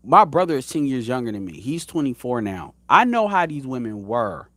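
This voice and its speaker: Man in his thirties, host of a commentary show: blunt, profane, rambling, with a lively swinging pitch and a dry close sound. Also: normal speed, no echo. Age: 30s. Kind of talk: casual opinion commentary.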